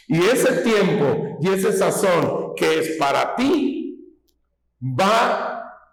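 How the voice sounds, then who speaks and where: A man preaching a sermon in a folksy teaching tone, often asking his listeners whether they follow him. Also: high-pitched. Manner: folksy, asks rhetorical questions, teaching tone. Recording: echoey hall.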